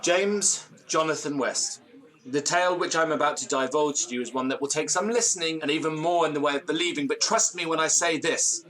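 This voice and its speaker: An actor playing a nervous, theatrical, disgraced gentleman in an audio drama, with florid old-fashioned diction and a tendency to stammer. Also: a little high, brisk, natural voice, low-quality recording. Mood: grave, storytelling. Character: nervous, theatrical, hard-drinking disgraced university gentleman storyteller.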